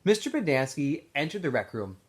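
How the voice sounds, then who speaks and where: Male story reader, classroom read-aloud feel, animated and emphatic delivery. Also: fairly high pitch, brisk, slightly rough. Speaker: teacher reading aloud to students.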